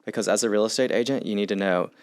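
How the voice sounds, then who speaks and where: A man's voice: fairly low pitch, rapid-fire, clean tone, no room sound, studio quality. A man who works as a real estate agent, a podcast guest, explaining in an earnest, step-by-step way. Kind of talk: casual podcast conversation.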